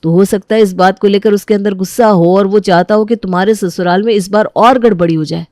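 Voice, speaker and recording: A woman acting an earnest, concerned young husband in a family audio drama, gentle and reasoning. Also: mid-range voice, steady tone, fairly quick, no room sound, clean studio sound, natural voice. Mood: earnest, worried. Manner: earnest, reassuring.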